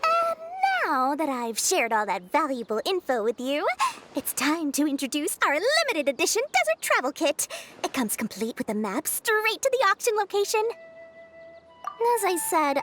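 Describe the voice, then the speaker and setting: A shrewd, chatty merchant in a game. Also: female voice, high-pitched, huge pitch swings, moderate pace, a bit bright, full character voice. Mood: salesy. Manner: salesy, knowing, lecturing. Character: shrewd, chatty female merchant, profit-minded. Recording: game audio with faint music.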